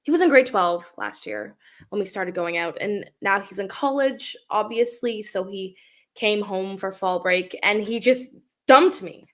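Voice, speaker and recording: A woman's voice: mid-range voice, a little slow, close to an everyday voice. A woman playing an earnest, guilt-ridden teenage girl unburdening herself in a dramatic play reading. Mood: hurt, guilty.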